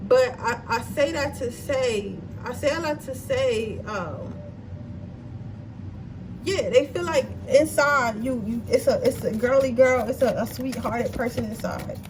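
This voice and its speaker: A woman's voice: high voice, a little slow. A woman giving a casual tarot reading, chatty and blunt, swearing freely and checking in with her viewers, her nose slightly stuffy. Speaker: tarot card reader. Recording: distant mic with noisy background.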